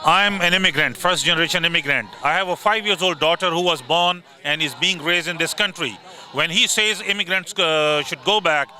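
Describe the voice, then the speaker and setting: A man's voice: on the high side, a little fast, sharp tone. Firm, proud man speaking at a protest. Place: outdoors at a street protest.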